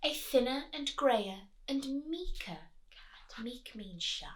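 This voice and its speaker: A woman reading a picture book aloud to young children, expressively, sometimes dropping low and asking the listener questions. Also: slow pace, background noise. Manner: expressive, drops to whispers, asks the listener questions. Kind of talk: animated read-aloud with chatty asides to young children.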